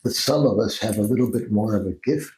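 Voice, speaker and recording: An older man from Mexico speaks with a Mexican accent and a fairly low voice. He tells his stories at an unhurried pace and keeps restarting his sentences. The room around him has a noticeable echo. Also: a little mellow. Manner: unhurried, restarts often, laughs mid-sentence. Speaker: guest, Jungian analyst. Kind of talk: storytelling interview answers.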